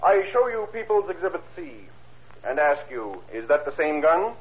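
Methodical prosecutor, muffled old radio. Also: male voice, on the high side, sing-song. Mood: formal, probing. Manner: crisp, formal, deliberate questioning. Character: formal, methodical prosecutor questioning witnesses in court. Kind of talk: 1930s radio acting.

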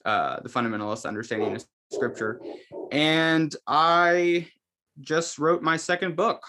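A man's voice: medium pitch, noisy background. A young man from the Seattle area, a podcast guest, joking and self-deprecating on a video call. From Seattle area, Washington. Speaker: podcast guest, seminary student. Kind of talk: casual video call chat.

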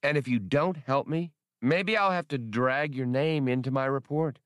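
A man voicing a self-assured, businesslike woman in an audiobook, brisk and chiding. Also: mid-range voice, a bit bright, smooth, no room sound, clean studio sound, pitched above the performer's own voice, close to an everyday voice. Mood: brisk, persuasive.

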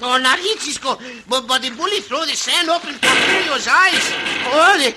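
A man playing an excitable, fearful Mexican cowboy sidekick in an old radio western, speaking broken English with a Mexican accent and calling himself by name. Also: very high-pitched, moderate pace. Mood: panicked. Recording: noisy old radio recording.

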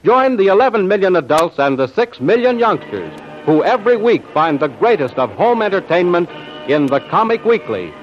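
Animated, dramatic American radio announcer, male. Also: a little high. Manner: dramatic, declamatory salesmanship. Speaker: announcer and narrator. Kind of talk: 1940s radio serial narration, scripted. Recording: dull old radio recording.

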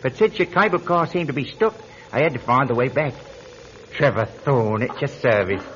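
An actor with a British accent playing a courteous, smoothly inquisitive policeman in an old radio mystery, speaking politely and formally. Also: mid-range voice, expressive, moderate pace, fairly bright tone, natural voice. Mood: breezy, courteous. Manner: polite, formal, suave questioning. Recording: muffled, noisy old radio recording.

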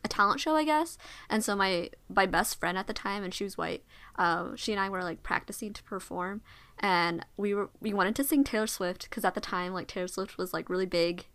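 A woman's voice: medium pitch, fairly quick, fairly bright tone, smooth. A young adult woman leading a casual conversation, telling a memory in a chatty, reflective way and asking her friends follow-up questions. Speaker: discussion leader.

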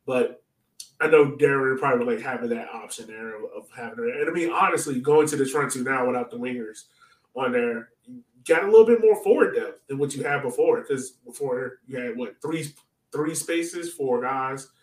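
Male podcast host, mid-pitched, casual sports chat, loose questioning style, roomy distant sound. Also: normal speed. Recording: roomy echo, distant mic.

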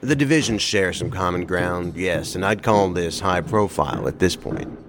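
Deep-voiced, businesslike federal investigator. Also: male voice, lively intonation. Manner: formal, measured, probing. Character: businesslike male federal investigator, cool and probing. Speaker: investigator in a task force. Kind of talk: audio drama acting.

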